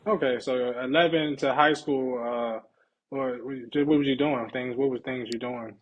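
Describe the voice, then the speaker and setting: A man's voice: medium pitch, steady tone, normal speed, lo-fi sound, slight background noise. A man hosting a podcast, casually putting short, colloquial questions and acknowledgements to his guest. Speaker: podcast host. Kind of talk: casual interviewing.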